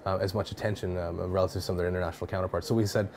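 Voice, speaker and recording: Low-voiced host, enthusiastic. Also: male voice, flat delivery, rapid-fire, darkish tone, a little hiss or hum. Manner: enthusiastic, with restarts. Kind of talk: casual chat. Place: wine cellar.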